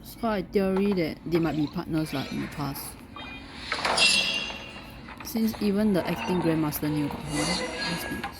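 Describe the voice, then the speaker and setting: Female game streamer, low register, casual commentary with music clearly behind. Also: normal speed, long pauses. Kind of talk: casual gameplay commentary, reading some game text aloud.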